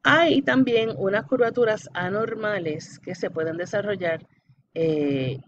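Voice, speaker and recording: A woman lecturer explains material step by step, speaking straight to the student and pausing to check understanding. The recording is muffled and lo-fi, with clear background noise. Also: medium pitch. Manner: didactic, checks understanding often, addresses the student directly. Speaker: anatomy lecturer. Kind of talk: explanatory classroom-style lecture. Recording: dull lo-fi audio with background noise.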